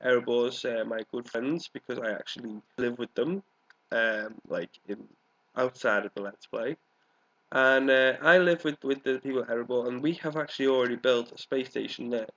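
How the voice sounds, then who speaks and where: Congested man casually narrating gameplay, dull audio. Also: mid-range voice. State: congested with a cold, coughing now and then. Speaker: gaming video host. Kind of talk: casual let's play commentary. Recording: dull, lo-fi microphone sound.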